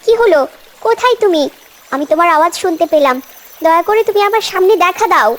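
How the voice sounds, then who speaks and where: A woman's voice: very high voice, lively intonation, fast talker. A woman voicing a brave, earnest little girl in a cartoon, talking boldly and pleadingly. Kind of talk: cartoon voice acting.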